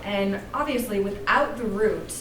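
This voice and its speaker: A woman's voice: medium pitch, measured pace. Woman guiding a workshop from afar. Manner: gentle, inviting, with fillers. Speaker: workshop speaker. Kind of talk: guided workshop talk with meditation. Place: room with a live audience. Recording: roomy, distant mic with room noise.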